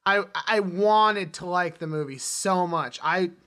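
Male podcast co-host, bright tone, informal movie talk, joking storyteller. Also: high voice, unhurried. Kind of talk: casual movie chat.

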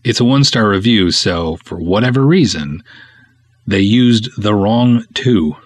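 Low, husky man, casually wry. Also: expressive, unhurried, no echo. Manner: wry, snarky sarcasm. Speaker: podcast host and filmmaker. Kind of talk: casual podcast talk, reading reviews aloud.

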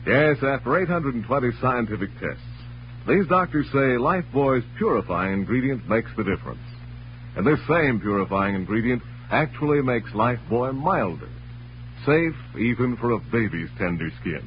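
American man, old time radio announcer, polished and emphatic, mid pitch, muffled recording. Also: very animated, normal speed, slight background noise. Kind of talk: 1940s scripted radio narration and sponsor pitch.

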